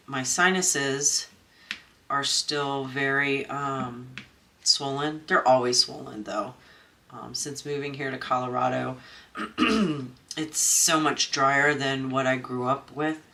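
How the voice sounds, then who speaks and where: Stuffy-nosed woman chatting slowly. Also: a bass voice, steady tone, pushed and bright. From Maryland, USA. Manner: rambling, many ums and restarts. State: stuffy, congested nose. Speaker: crafting channel host. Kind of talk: casual chat while crafting. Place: at home.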